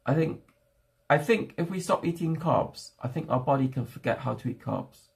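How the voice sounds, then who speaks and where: A young man whose first language is not English, chatting casually to his livestream viewers from home and thinking aloud in halting, restarting phrases. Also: mid-range voice, expressive, creaky. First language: a home language other than English. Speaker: streamer.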